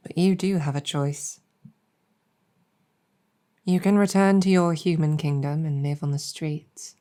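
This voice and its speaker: A woman playing a gentle, earnest, world-weary demon general in an ASMR roleplay, speaking softly and reassuringly with measured hesitation. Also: sits low, fairly even intonation. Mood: gently persuasive. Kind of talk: improvised ASMR roleplay storytelling.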